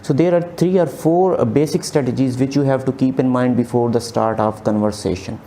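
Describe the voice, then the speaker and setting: A man's voice: mid-range voice, steady tone, brisk, mellow, slight background noise. A man in his thirties teaching exam speaking strategies over slides, repeating key points with frequent fillers. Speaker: OET exam tutor. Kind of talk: slide lecture. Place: small indoor room, webcam inset.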